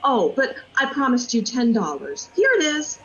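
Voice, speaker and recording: A woman's voice: a little high, wildly swooping pitch, normal speed, fairly bright tone, dull audio. A woman playing a grateful, caring children's nurse with a tender, earnest manner. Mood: grateful, moved.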